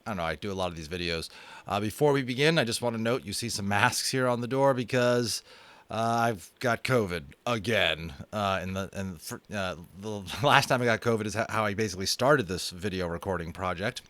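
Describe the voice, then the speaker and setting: An American man narrating a children's novel aloud in a dramatic, animated way, with chatty, self-amused asides. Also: on the low side, fairly quick, no echo. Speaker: audiobook reader and host. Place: home room.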